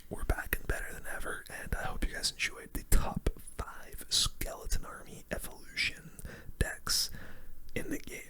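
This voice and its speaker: A young man speaks entirely in a bright, breathy whisper, close to the mic. He gives ASMR gameplay commentary as host, casual and slangy, reacting to each move as he plays. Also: extremely high pitch. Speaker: gaming video host.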